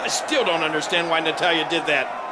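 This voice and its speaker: An actor playing a blunt, excitable colour commentator on wrestling matches in a video game. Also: male voice, fairly high pitch, brisk, very noisy, lightly acted. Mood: shocked. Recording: loud arena crowd and music behind.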